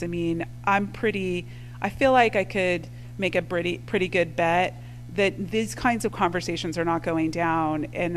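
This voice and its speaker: Woman panelist, lowish voice, conversational with fillers, faint hum, hall recording. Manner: thoughtful, conversational, many fillers. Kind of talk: panel discussion answer. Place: lecture hall with audience.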